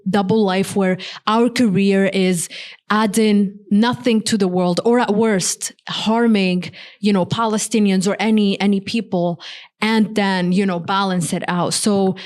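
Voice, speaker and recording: A young woman from Morocco, a software engineer guest, talking earnestly in a casual interview with many "like" fillers. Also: mid-range voice, fairly even intonation, measured pace. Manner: heavy use of "like" fillers, earnest.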